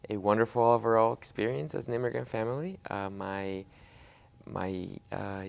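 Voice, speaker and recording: A man's voice: lowish voice, normal speed, vocal fry. A young man born in Mexico whose first language is Spanish, answering interview questions with many fillers and restarts. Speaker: guest.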